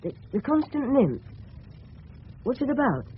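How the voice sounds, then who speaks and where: Keen, well-mannered English schoolboy, breaking voice with lively swings, dull old radio sound. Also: male voice, extremely high pitch, measured pace, heavily acted. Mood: keen, persistent. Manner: polite, boyish, keen. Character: polite, persistent teenage schoolboy with breaking voice. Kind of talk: 1970s radio drama dialogue. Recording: muffled, faintly hissy old radio broadcast.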